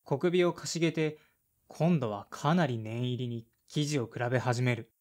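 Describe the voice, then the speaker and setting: A man's voice: medium pitch, normal speed, a little mellow, close to an everyday voice. A man playing a blunt, awkward man of thirty-five in an audio drama, in casual dialogue and brooding narration. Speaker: lead character and narrator.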